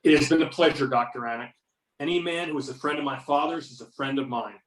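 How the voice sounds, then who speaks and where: A man's voice: mid-range voice, fast, close to an everyday voice. A man playing a sardonic, confident FBI agent in an amateur readers-theater performance, with pointed questioning. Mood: cordial, confident. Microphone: videoconference or conference call. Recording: roomy echo, distant microphone.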